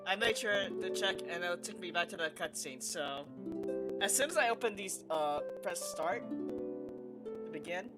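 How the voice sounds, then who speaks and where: A woman's voice: on the low side, a little slow. A woman game streamer giving casual, informal livestream commentary with hesitant phrasing. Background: loud game music.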